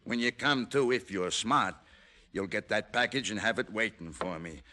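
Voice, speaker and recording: Menacing gangster, hard forceful edge. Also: male voice, on the low side. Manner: tough talk, throat-clearing pauses. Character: menacing male gangster, coolly threatening with a gun. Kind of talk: old-time radio crime drama acting.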